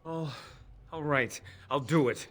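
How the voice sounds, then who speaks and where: A man's voice: a little high, slow, a bit bright. An actor playing a nervous, evasive elf spy in a fantasy game, defensive and pleading as he stammers. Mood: frightened, pleading.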